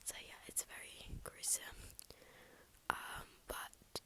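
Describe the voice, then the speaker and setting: A woman with a cold, whispering softly and haltingly into a close mic for an ASMR poem reading. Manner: soft whisper, halting with fillers. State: congested with a cold, snuffly. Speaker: ASMR creator.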